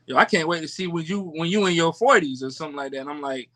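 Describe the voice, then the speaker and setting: A man in his twenties, a podcast guest, chatting in relaxed slang with frequent restarts. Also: fairly high pitch, fast. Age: 20s. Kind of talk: casual chat.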